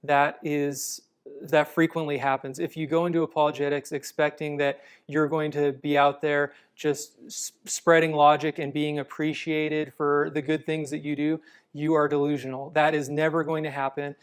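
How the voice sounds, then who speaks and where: Measured male guest, smooth and clear, patient explainer. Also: fairly high pitch. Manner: calm, measured, explanatory with frequent restarts. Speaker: apologetics guest. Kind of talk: conversational interview answers.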